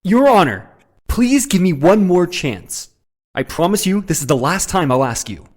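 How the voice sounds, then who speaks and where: A man's voice: sits high, very animated, normal speed, darkish tone. A man acting an earnest, anxious young defence lawyer in a video game drama, emphatic and pleading. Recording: music under the voice.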